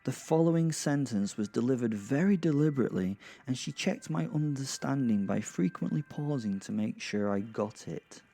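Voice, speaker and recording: A man hosting and narrating an audio drama podcast in an informal, halting, self-deprecating way. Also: medium pitch, lively intonation, vocal fry, warm tone. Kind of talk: first-person audio drama storytelling and informal address.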